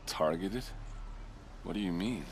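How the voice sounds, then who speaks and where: An actor playing a gruff, hard-drinking city guard captain in a video game, halting and sardonic as he grieves. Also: sits low, background noise. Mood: doubtful. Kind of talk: video game cutscene acting. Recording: game music behind the voice.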